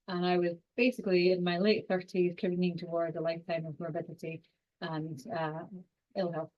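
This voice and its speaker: A woman with a Scottish accent and a lowish voice lectures quickly, often restarting phrases with fillers. She sounds like a scientist teaching over a video call. The audio is dull and lo-fi. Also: a little mellow. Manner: fast-talking, with frequent fillers and restarts. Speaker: university lecturer and exercise scientist. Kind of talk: online lecture.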